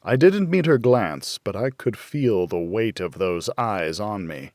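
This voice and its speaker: Expressive male audiobook narrator, unhurried and dry. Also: lowish voice, no echo. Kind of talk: scripted first-person thriller narration.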